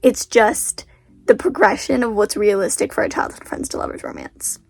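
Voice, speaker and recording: A woman's voice: fairly high pitch, moderate pace, gravelly, a little mellow, no room sound. A teenage girl and author vlogging casually, rambling in a stressed, self-mocking way with a sleepy-sounding voice. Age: teens. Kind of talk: casual vlog chat.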